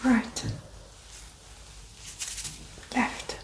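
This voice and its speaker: A woman playing the patient in a soft-spoken ASMR roleplay, giving quiet, terse answers. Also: drawn-out words. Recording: roomy echo, faint background noise.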